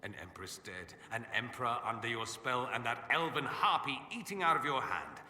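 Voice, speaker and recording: A man's voice: mid-range voice, expressive, normal speed, pushed and bright, slight background noise. An actor playing a witty, theatrical mage nobleman in a video game, with an arch, refined, droll manner. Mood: droll, playful. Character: witty, urbane, theatrical mage nobleman, wistful about homeland. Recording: long reverberant hall echo.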